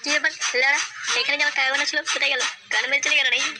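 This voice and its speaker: Woman playing high-pitched, ranting cartoon father. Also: little pitch movement, racing, close to an everyday voice, rough recording. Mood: furious, indignant. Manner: cursing, ranting, berating. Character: angry, foul-mouthed scolding father. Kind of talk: comedy cartoon dialogue. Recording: music behind the voice.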